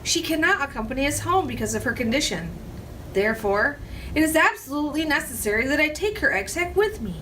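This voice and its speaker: A woman's voice: high-pitched, big pitch swings, a little fast, sharp tone, recorded from a distance, slight background noise, pitched above the performer's own voice, heavily acted, rough recording. A woman voicing a young male pig who talks in a pleading, urgent way.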